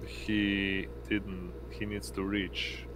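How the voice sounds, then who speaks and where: Deep, monotone man running a game. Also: background noise. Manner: halting, hesitant delivery. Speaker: game master. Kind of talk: narrating an online tabletop role-playing game. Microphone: online voice call.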